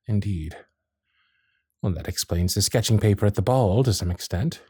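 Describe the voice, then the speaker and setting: Guy doing a victorian gentleman suitor, low husky voice, formal and tender, really expressive, romantic asmr roleplay. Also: dry recording, clean studio sound. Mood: probing, surprised. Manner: formal, old-fashioned, measured phrasing with dramatic pauses. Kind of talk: romantic period ASMR roleplay, intimate one-sided dialogue.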